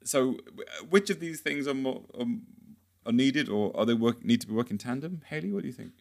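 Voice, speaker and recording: A man's voice: medium pitch, lively intonation, fairly quick, vocal fry. A man hosting a podcast, putting questions in a conversational, tentative way and rephrasing as he goes. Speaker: podcast host, interviewer. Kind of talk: conversational interviewing.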